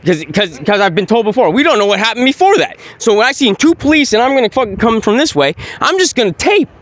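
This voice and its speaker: A man in his forties, recorded on a handheld phone outdoors at night, argues with a high, lively voice. He talks in a rambling, repetitive and confrontational way. His pitch swings up and down as he pushes his point. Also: quick pace, no room sound. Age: 40s. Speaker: citizen filming police. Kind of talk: heated street argument.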